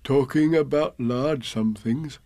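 A humble, gentle storybook bear, played by a man, with a mid-pitched voice. He sounds simple, dreamy and a little hesitant, as if thinking slowly. The sound is close and dry. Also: no echo, close to an everyday voice. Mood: dreamy, wistful. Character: humble, slow-witted, dreamy, gentle male toy bear. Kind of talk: storybook character voice.

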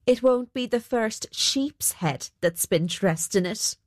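Woman playing a sceptical, unhurried housekeeper. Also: on the high side, animated, dry recording, clean studio sound. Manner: plain-spoken, teasing. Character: sceptical, dry-witted female housekeeper. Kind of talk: storybook character voice.

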